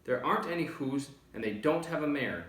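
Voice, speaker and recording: A man's voice: a little high, animated, a little fast, voice pushed up. Man as scoffing, bullying jungle monkeys, roomy. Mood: scornful. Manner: shouting, bullying. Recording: roomy, distant microphone.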